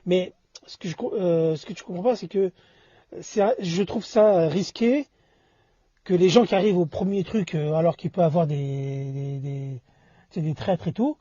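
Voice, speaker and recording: A man in casual discussion, talking haltingly and often repeating and rephrasing himself. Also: sits high, warm tone, no echo. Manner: halting, repeats and reformulates phrases. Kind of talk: casual two-person discussion. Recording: dull lo-fi audio.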